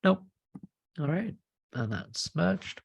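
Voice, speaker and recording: A man's voice: on the high side, animated, slow pace, gravelly, slightly rough, dry recording, studio quality. A man chairing an online work meeting in an informal, self-deprecating way. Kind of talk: casual meeting facilitation. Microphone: video call.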